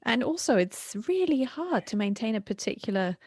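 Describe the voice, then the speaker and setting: Female host, German with a mixed accent, casual and quick to laugh, dry close sound. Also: a little high, big pitch swings, darkish tone, no room sound. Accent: German, mixed with British and American. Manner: chatty, laughs often, restarts sentences. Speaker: podcast host. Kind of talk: casual conversational interview.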